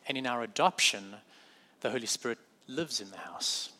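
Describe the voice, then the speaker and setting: A man's voice: medium pitch, animated, moderate pace, sharp tone. A man with a South African accent preaching a sermon in a conversational way, correcting himself and adding light humorous asides.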